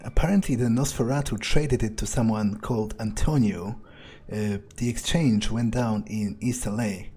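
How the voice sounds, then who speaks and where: A man roleplaying a blunt rookie vampire in a video game, with a husky voice and a measured, hesitant, conversational manner. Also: fairly low pitch, warm tone. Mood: defensive. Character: male rookie vampire turncoat, blunt, self-justifying.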